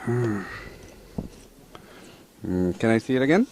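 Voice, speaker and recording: A man's voice: lowish voice, animated, takes it slowly, darkish tone, a little hiss or hum. A man answering a street interview outdoors, hesitant and thinking aloud as he guesses. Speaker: passerby interviewed.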